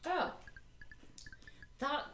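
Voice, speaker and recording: A woman's voice: extremely slow, fairly bright tone. A woman sitting in as a guest on a gaming video, chatting casually and self-deprecatingly. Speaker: guest co-commentator. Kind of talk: casual chat over gameplay. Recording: echoey room with clear noise.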